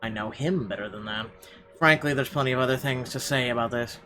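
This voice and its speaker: A man with a mid-pitched voice reads a visual novel's narration aloud. His delivery is expressive and a little halting, with clear music playing behind him. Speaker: game player reading narration aloud.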